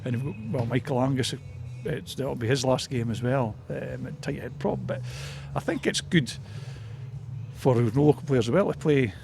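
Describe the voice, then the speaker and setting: A man's voice: mid-range voice, normal speed, vocal fry, background noise. A man with a Scottish Borders accent giving a casual, hesitant, rambling interview about his club.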